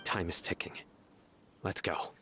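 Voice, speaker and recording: An actor voicing a stern, calm young investigator who judges gravely, in measured English game dialogue. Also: male voice, lightly acted. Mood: grave, resolute. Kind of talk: English video game voice acting. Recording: game music behind the voice. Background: loud game music.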